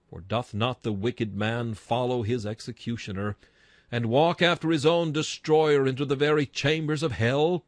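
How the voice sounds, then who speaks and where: A man delivers an archaic sermon as a dramatic reading. His voice sits at a medium pitch, and his manner is solemn and exhortatory, full of rhetorical weight. The sound is dry and free of room echo. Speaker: narrator reading a historical sermon. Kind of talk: dramatic read-aloud sermon in archaic English.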